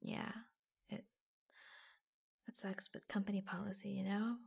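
A woman playing an affectionate, reassuring secretary in a soft-spoken ASMR roleplay, gently hesitant and often dropping into a whisper. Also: mid-range voice, level tone, creaky, slightly rough, exaggerated voice. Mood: tender, wistful. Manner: gentle, hesitant, pausing between short phrases.

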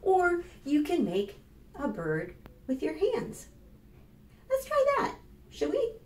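A woman in her fifties with an American accent, reading aloud to preschoolers as a children's librarian in an expressive, instructive manner. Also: high-pitched, slow pace. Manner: expressive, instructive, addressing young children with questions. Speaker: children's librarian and storytime host. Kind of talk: read-aloud and rhymes for preschoolers. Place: small plain indoor room. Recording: roomy echo with clear background noise.

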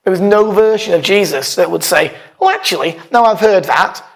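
A man's voice: high voice, lively intonation, moderate pace, gravelly. A man, a pastor giving an informal, conversational sermon from a church stage with emphatic stresses. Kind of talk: informal sermon, partly reading aloud. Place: church hall, speaking from a lectern on stage.

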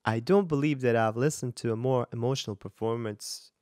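A man in his thirties talks casually as a vocal coach reacting to a performance. His medium-pitched voice is expressive and emphatic, with effusive phrasing. It is recorded close on a studio microphone in a small, dry-sounding room. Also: brisk, dry recording. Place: small indoor home studio. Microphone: large studio mic up close, headphones on.

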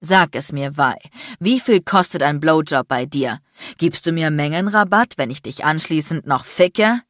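An icy, domineering man in an audiobook drama, acted out by a woman. He is furious and sarcastic, hissing and barking threats in a lowish voice with a dry, close sound. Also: animated, unhurried, no room sound. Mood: cruel, aggressive. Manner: shouting, biting, threatening, crude. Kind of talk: dramatic audiobook character acting.

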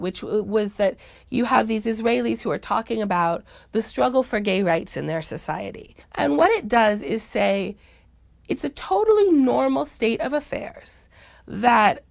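A woman giving analytical interview commentary, stressing key words deliberately. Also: medium pitch, creaky.

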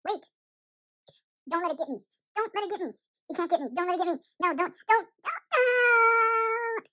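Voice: very high voice, moderate pace, pushed and bright. A performer voicing a panicky, bewildered young chipmunk boy in a cartoon fan story, rambling and repeating themselves in an anxious, dramatic way. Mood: despairing, then terrified.

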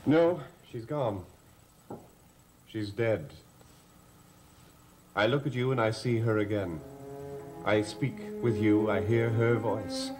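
Brooding, low-voiced grieving widower. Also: male voice, darkish tone, a little hiss or hum. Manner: formal, grave, deliberate. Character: brooding, grieving widowed gentleman, proud and defensive. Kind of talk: 1960s TV soap opera acting. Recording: vintage TV soundtrack with background music.

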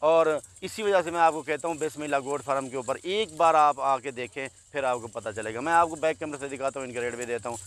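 A man's voice: mid-range voice, fast, few pauses, a bit bright. A man in his thirties from Pakistan who runs a goat farm, chatting like a salesman as he walks viewers through his herd in an open field, recorded on a phone held at arm's length. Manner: chatty salesman, repeatedly addressing friends. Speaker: goat farm owner and seller. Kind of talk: casual sales walkthrough vlog. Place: open harvested field outdoors.

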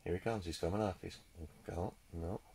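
A man giving casual running commentary as he fishes, muttering and repeating himself in a husky, gravelly voice. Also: deep voice, steady tone, normal speed, mellow. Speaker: ice angler demonstrating a fish finder. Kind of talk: excited casual running commentary while fishing.

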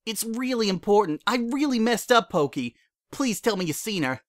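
A man voicing a heartbroken young female pony who speaks in a cold, flat tone that breaks into sobbing, in a dry recording. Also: high-pitched, normal speed, no room sound, voice pushed up. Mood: urgent.

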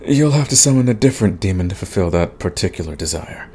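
A man playing a big, grumpy but soft himbo demon in a romantic comedy audio roleplay, husky and stammering in protest. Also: sits low, lively intonation, quick pace, a little hiss or hum. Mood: flustered, softening. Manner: grumbling, sighing, stammering protests. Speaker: voice actor.

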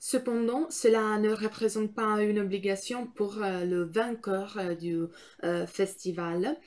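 A young woman with an Italian accent talks straight into a phone camera in a small room. Her first language is Italian, and she speaks at a slow, careful pace. She hesitates often, filling gaps with drawn-out sounds as she hunts for each word. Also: mid-range voice, fairly even intonation, a little mellow. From Italy. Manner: hesitant, many filler sounds while searching for words. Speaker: student vlogger. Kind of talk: educational talk to camera. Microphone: camera or phone in front, framed from below.

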